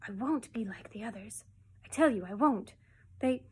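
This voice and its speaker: An earnest little baby boy from a storybook, voiced by a woman. He is stubborn and plaintive, speaking with fierce feeling in a raised pitch. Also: expressive, normal speed, warm tone, breathy edge. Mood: fiercely defiant. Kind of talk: children's storybook reading.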